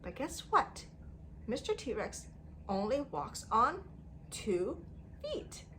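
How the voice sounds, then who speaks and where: A woman's voice: a little high, very animated, takes it slowly, a bit bright, slight background noise. A woman leading an interactive storytime lesson for young children, speaking in an exaggerated sing-song way with prompting questions and repetition.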